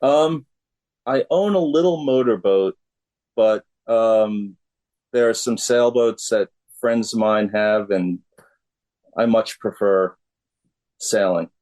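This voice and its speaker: A middle-aged man answering as a musician guest in a casual interview, laid-back, halting and plain-spoken. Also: on the low side, a little mellow.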